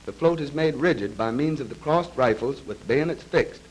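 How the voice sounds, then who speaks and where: A male narrator delivers scripted military instruction in the style of a 1930s training film. His pitch sits in the middle range for a man. He speaks formally and precisely, with a measured delivery, as heard on a vintage film soundtrack. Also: expressive, a little hiss or hum, poor audio. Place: voice-over recorded for a film.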